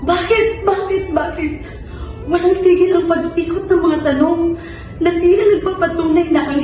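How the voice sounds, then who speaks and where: Woman acting an anguished young student, high and echoey. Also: darkish tone, lightly acted. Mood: troubled, questioning. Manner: emotional, plaintive delivery. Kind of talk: dramatic stage monologue. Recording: very echoey room, distant mic, music.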